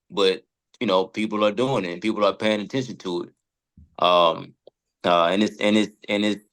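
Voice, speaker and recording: Deep-voiced American man, blunt and slangy. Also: fairly bright tone, poor audio. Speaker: podcast guest. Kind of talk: casual chat.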